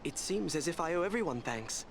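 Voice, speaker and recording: An actor playing a small, gentle halfling in a fantasy game, speaking in an earnest, polite and eager way. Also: male voice, a little high, lively intonation, fairly quick, creaky. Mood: grateful, friendly. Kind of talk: fantasy video game character acting. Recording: faint background ambient noise.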